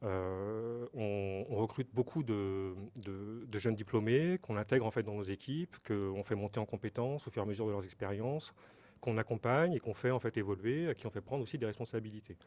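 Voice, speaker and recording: A man's voice: fairly low pitch, moderate pace, a little mellow. A middle-aged man from Brittany, France, answering interview questions as a company director, explaining hesitantly with many fillers and repeated words.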